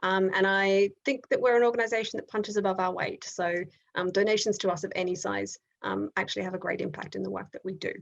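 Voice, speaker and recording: A woman's voice: medium pitch, level tone, quick pace, vocal fry, dull audio. A woman with an Australian accent hosting an online event on a video call, talking with frequent ums and restarts. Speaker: event host.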